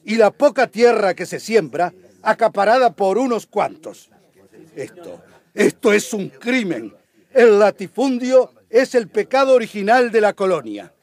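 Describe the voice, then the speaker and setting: An actor playing an impassioned advocate in a radio drama courtroom, denouncing injustice with emphatic oratory, rhetorical questions and repetition. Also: male voice, high voice, very animated, a little slow, a little mellow, heavily acted. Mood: outraged.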